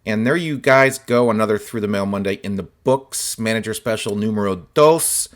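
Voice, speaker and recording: Slightly hoarse man, chatty and relaxed. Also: fairly low pitch, moderate pace. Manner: relaxed, chatty, many fillers and asides. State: slightly hoarse, voice partly lost. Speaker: host of a card-collecting channel. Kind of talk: casual show-and-tell of autographed sports cards.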